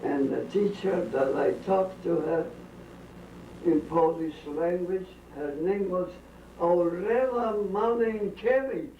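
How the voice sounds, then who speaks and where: An elderly woman, slowly telling rambling family stories, drifting off topic and voicing blunt opinions. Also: fairly low pitch, warm tone. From Bukovina, then Austria. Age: older. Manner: slow, halting, drifting off topic with strong opinions. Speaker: interviewee. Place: family home during a dinner gathering. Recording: roomy, distant, dull lo-fi home tape with faint hiss.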